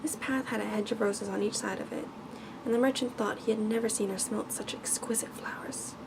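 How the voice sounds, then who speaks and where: A woman's voice: medium pitch, fairly even intonation, brisk. A woman reading a bedtime story aloud in ASMR style as a storyteller. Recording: clearly noisy background ambience.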